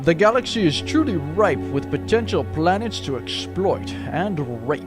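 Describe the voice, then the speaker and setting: Pompous space commandant, male actor, comedy audio drama: high voice, self-important, ornate delivery, music bed. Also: very animated, measured pace. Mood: dreamy, pompous.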